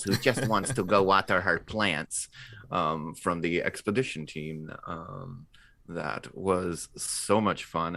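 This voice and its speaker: Man, low voice, slow pace, dry close sound; relaxed chat with a ready giggle. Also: creaky, no echo. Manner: giggly, chuckles while talking. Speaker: actor and podcast cast member. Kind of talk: casual live podcast chat.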